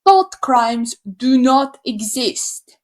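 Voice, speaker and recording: Animated, sarcastic young woman from Slovakia. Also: high-pitched, drawn-out words. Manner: sarcastic, animated, swears freely. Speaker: YouTuber. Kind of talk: opinion rant to camera.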